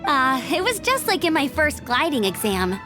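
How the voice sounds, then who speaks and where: A woman's voice: high-pitched, big pitch swings, normal speed, music in the background. A young woman playing a perky, brave knight scout in a video game, chirpy and earnest. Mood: sheepish, chatty. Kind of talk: animated video game voice acting.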